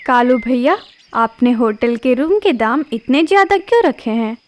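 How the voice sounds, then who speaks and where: A woman's voice: on the high side, moderate pace, no room sound, lightly acted. A woman voicing a kind, sensible young female bird in a cartoon, speaking politely. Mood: puzzled. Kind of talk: cartoon voice acting.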